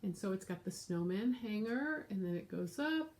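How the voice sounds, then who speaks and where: A woman's voice: mid-range voice, lively intonation, moderate pace, a little mellow. Congested, nasal American woman chatting. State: sick with congestion. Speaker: craft vlogger and show host. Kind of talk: casual show-and-tell chat to camera. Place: room at home.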